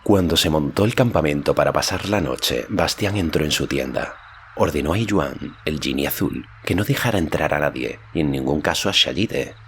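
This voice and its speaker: A man's voice: rumbling low, animated, normal speed, a bit bright, a little hiss or hum. A man reading a fantasy novel aloud as scripted audiobook narration.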